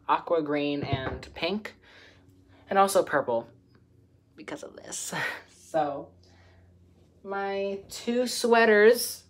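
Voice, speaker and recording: A teenage boy chatting casually in his bedroom, showing off new clothes, giggling and filling pauses with ums. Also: fairly high pitch. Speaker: vlogger. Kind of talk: casual haul video. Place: small bedroom indoors. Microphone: camera close up at desk.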